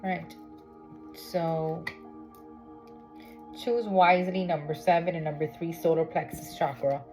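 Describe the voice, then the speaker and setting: A woman's voice: fairly low pitch, steady tone, measured pace, poor audio. A woman from Miami doing a tarot reading in a chatty way, checking in with "okay?" often. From Miami, United States. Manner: chatty, with many fillers and "okay?" check-ins. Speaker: tarot reader. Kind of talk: casual spiritual reading vlog. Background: background music.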